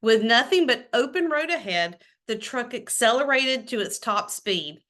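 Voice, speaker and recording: A woman hosting a children's read-aloud, expressive and enthusiastic, talking straight to her young listeners in a casual way. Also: on the high side, measured pace. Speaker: host of a children's book read-aloud show. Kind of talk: reading a children's novel aloud with casual chatty asides.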